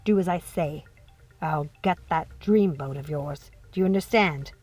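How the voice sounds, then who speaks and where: A woman's voice: low-pitched, a little slow, soft background music, voice pushed down. Woman acting a menacing, mocking witch mother. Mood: cold, coaxing. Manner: syrupy menace, swinging from hushed coaxing to screaming.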